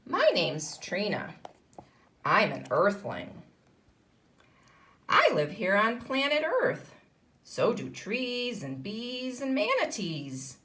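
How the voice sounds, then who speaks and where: A woman's voice: medium pitch, huge pitch swings, slow, pauses often, a bit bright. A woman teaching kindergarten, reading a picture book aloud to young children and explaining as she goes. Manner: instructional, explaining and posing questions to children.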